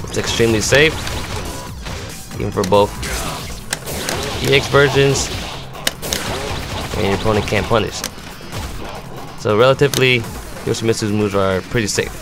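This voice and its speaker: Lower-voiced man explaining game moves over music. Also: normal speed, long pauses. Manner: explanatory, step by step. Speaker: competitive gamer giving a character demo. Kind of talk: instructional game move commentary. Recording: music playing behind the voice.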